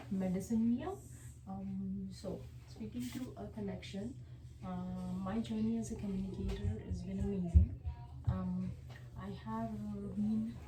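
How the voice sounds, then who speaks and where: A young woman whose first language is Hindi, giving a class presentation as a student, hesitant and filling pauses with ums and uhs. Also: medium pitch, echoey room, background noise.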